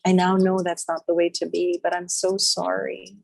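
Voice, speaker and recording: Low, breathy woman guiding a meditation. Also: completely flat delivery, no echo, rough recording. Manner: slow, soft, repetitive phrases with long pauses. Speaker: meditation guide. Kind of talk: guided healing meditation.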